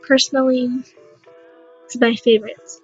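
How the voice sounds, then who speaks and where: A young woman with a raspy voice, casually narrating a makeup tutorial in a rambling, unsure, self-deprecating way. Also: fairly high pitch, level tone, measured pace, a little mellow, lo-fi sound, faint music behind.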